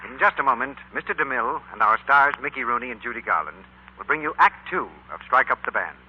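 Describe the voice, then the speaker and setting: A man's voice: medium pitch, animated, fast talker, a little hiss or hum. An American man announcing in 1940s radio style. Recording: dull, lo-fi 1940s radio recording.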